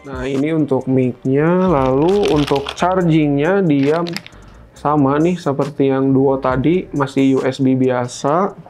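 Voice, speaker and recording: A man's voice: medium pitch, takes it slowly, darkish tone, soft background music. A man hosting a product unboxing in an informal, explanatory, step-by-step way. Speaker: product review host. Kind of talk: casual unboxing presentation to camera.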